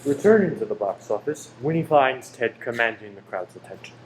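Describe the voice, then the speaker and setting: A man's voice: medium pitch, lively intonation, fairly quick, slight background noise, lightly acted, low-quality recording. A man narrating scenes in a radio play with scripted, reporter-like delivery. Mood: scene-setting.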